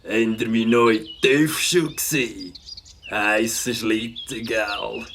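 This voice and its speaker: A cocky cartoon biker brags in a slow, gravelly voice. He is smug and mocking, with faint outdoor noise behind him. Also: lowish voice, fairly even intonation, fairly bright tone. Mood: smug, boastful. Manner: bragging, smug chuckling drawl. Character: boastful, mocking biker show-off. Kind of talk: cartoon character acting with slangy talk.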